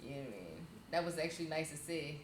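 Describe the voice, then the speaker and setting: A woman's voice: low-pitched, expressive, quick pace, reverberant, crowd noise. A woman co-hosting a reaction show, jumping in eagerly during a casual group chat.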